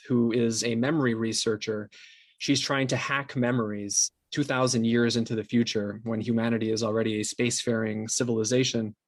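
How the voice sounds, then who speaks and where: A man's voice: mid-range voice, no echo. Young man chatting casually, dry sound. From São Paulo, Brazil. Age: young adult. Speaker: podcast guest, composer and sound designer. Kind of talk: casual interview talk.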